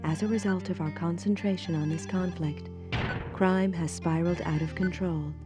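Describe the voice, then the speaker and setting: A woman playing a composed police official in a video game, making formal, measured announcements to all units. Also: fairly low pitch, fairly even intonation, over music, close to an everyday voice. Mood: formal, gracious.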